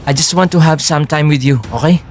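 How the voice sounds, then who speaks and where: A man acts out a possessive boyfriend in a Filipino audio drama. His somewhat high male voice feigns sweet concern, coaxing in Tagalog sprinkled with English. Music plays faintly underneath. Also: brisk, lightly acted.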